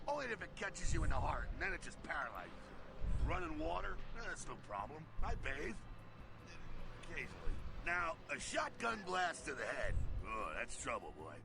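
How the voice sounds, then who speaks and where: Gravelly, swaggering vampire mentor. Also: male voice, a little high, very animated, moderate pace, noisy background. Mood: cocky, mocking. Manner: salty, swaggering, theatrical, punchy fragments. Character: brash, wild older male vampire mentor, gleefully streetwise. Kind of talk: video game character acting.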